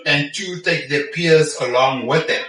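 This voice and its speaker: A man's voice: fairly high pitch, unhurried, a bit bright. A middle-aged man with a South African accent giving conversational interview answers as a technology executive, often checking in with a questioning "yeah?". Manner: frequent "yeah?" check-in tags. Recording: echoey, distant mic, busy crowd chatter.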